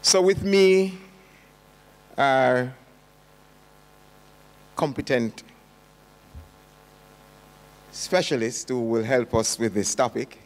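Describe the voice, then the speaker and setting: An older man, moderating a panel in a slow, measured, formal way from a podium in a large conference hall. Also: mid-range voice. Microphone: podium mic. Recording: long hall reverb.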